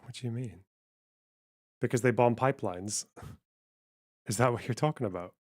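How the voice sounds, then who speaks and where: A man's voice: medium pitch, normal speed, darkish tone. A man giving blunt, wry, sweary commentary on news during a live stream.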